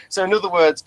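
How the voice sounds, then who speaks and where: A man co-hosting a casual chat, asking questions in a halting way and repeating his opening words. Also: sits high, a bit bright. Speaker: podcast co-host asking questions.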